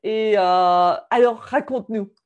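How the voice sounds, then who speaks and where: A woman hosting a video-call interview, upbeat, laughing often and pausing with fillers. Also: mid-range voice, a little mellow. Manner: upbeat, with frequent laughs and hesitations. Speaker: interview host.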